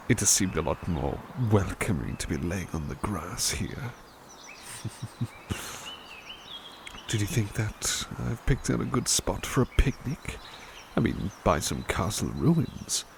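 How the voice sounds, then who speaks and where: A man voicing a doting butler in a soft-spoken ASMR roleplay, talking gently and soothingly with hesitant restarts. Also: mid-range voice, gravelly, a little hiss or hum.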